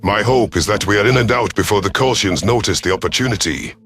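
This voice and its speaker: A man's voice: on the low side, expressive, quick pace, fairly bright tone. A man voicing a battle-hardened alien predator commander who gives formal, authoritative orders in a sci-fi audio story. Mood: stern, commanding. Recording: music behind the voice.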